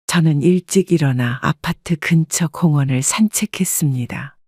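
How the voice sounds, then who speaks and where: A woman in her sixties narrating her own story in first person, calm and measured. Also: deep voice, dry recording, studio quality.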